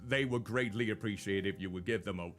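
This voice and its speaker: A man co-hosting a live stream in casual chat, with a naturally loud voice and a rambling, self-deprecating way of talking. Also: fairly low pitch, fast talker, soft background music.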